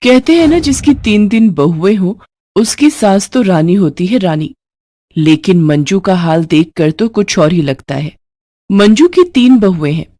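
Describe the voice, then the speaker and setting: A woman storyteller giving expressive scripted narration. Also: medium pitch.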